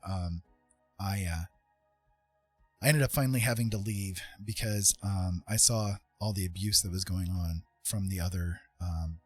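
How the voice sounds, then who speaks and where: A man's voice: lowish voice, slightly rough, no echo. A middle-aged man chatting casually as a livestream host, earnest, hesitant and reflective, thinking as he talks. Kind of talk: casual personal chat.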